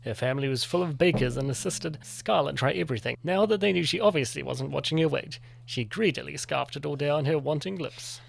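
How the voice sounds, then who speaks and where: A man's voice: a little high, brisk, gravelly, few pauses. A man narrating a scripted story for a comic dub.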